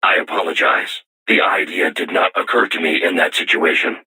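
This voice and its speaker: Very deep, robotic war machine. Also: male voice, steady tone, bright, forceful tone, breathy edge, exaggerated voice. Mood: solemn, formal. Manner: formal, measured, stilted machine-like diction. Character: solemn self-aware robot war machine, young but erudite. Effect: robotic voice processing. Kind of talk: scripted video game voice acting.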